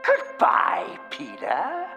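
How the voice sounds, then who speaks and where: A gloating, theatrical mad bomber from a video game. His voice is very high and slow, echoing in a big hall with music underneath. He savors every boastful taunt. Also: wildly swooping pitch, sharp tone, heavily acted. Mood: mocking, triumphant. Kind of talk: video game villain acting.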